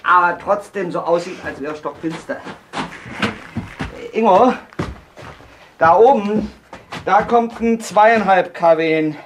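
A man's voice: high-pitched, slow, long pauses, background noise. An actor playing a commanding, businesslike male film director who gives instructions in naturalistic radio drama dialogue. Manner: directing, hesitant asides, giving instructions.

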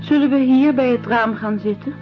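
A woman's voice: sits high, normal speed, close to an everyday voice. Actress as anxious young woman, radio drama, earnest, guarded, dull recording, faint music. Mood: startled, reserved. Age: young adult. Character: anxious young woman searching for her exiled brother.

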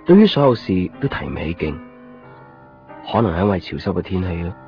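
A man's voice: sits low, sing-song, brisk. A man with a Hong Kong Cantonese accent playing a weary, short-tempered photocopy shop keeper in a radio drama. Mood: listless, gloomy. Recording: muffled 1990s radio drama, music behind. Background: music.

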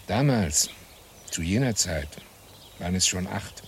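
An actor playing a calm, wry man recalling the past in a German radio play, unhurried and matter-of-fact. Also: low-pitched, a little hiss or hum. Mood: wry, relaxed. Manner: unhurried, matter-of-fact, pausing between phrases.

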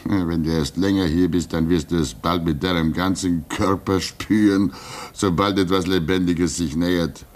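An actor in a German radio play as a gentle, fatherly veteran of a lonely polar station, soothing, hesitant and measured. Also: low-pitched, steady tone, lightly acted. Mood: calm, wistful.

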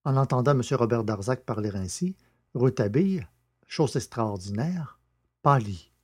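Male narrator, scripted literary reading, warm soft-edged timbre, medium pitch. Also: animated, normal speed, slightly rough. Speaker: audiobook narrator.

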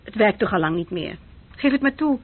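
An actress playing a scornful, clear-headed woman in a radio drama, husky and challenging. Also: medium pitch, expressive, a little fast. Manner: taunting, commanding. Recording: muffled, tinny old radio-play recording.

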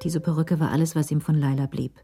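A woman narrating a radio crime drama in a measured, literary storytelling manner. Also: low voice, flat delivery, gravelly, music in the background.

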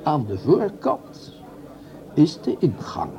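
A man's voice: on the high side, huge pitch swings, slow, warm tone, exaggerated voice. A man acting in a radio drama as a scheming doctor with genteel manners and formal, hesitant phrasing. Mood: secretive. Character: smooth, scheming doctor, genteel gentleman crook.

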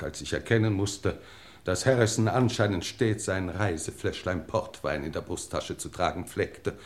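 A genteel English lord with a lowish voice. He speaks formally and deliberately, with wry, world-weary grandeur, in the manner of 1950s radio drama. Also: darkish tone. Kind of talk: 1950s German radio play acting. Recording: 1950s radio play recording.

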